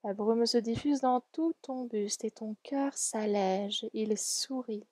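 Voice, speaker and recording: A woman leading a guided relaxation meditation in a soft, soothing, gentle and unhurried way. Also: fairly high pitch, no room sound, clean studio sound. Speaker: yoga nidra guide.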